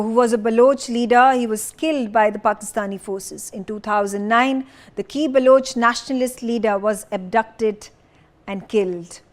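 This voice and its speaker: A woman news presenter explaining in a partly ad-libbed style, with emphatic, deliberate phrasing. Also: on the high side.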